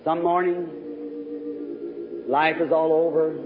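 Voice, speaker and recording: A man's voice: on the high side, flat delivery, takes it slowly, over music. A man preaching fervently to a revival congregation as an evangelist, exhorting his listeners. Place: large reverberant meeting hall. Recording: tinny, noisy, echoing old hall recording.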